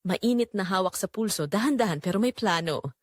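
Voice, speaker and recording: Woman reading a dramatic story, super expressive pitch, dry close recording, builds suspense. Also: brisk, no echo. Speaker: narrator of audio drama. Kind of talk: dramatic scripted story narration.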